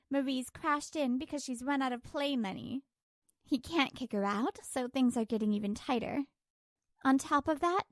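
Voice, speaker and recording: High-pitched woman narrating a story. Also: no echo, clean studio sound. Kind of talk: scripted first-person story narration.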